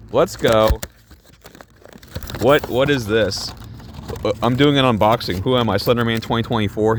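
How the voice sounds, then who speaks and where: Excitable young man ranting over an unboxing. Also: medium pitch, a little hiss or hum. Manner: excitable, rambling, swears, laughs at himself. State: losing his voice. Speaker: gaming YouTuber doing an unboxing. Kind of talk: casual unboxing rant to chat.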